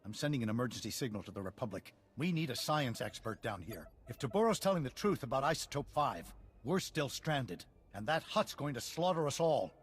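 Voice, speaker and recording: Earnest, expressive planetary leader. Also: male voice, mid-range voice, fairly quick, breathy edge. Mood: grim, urgent. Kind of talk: video game character acting.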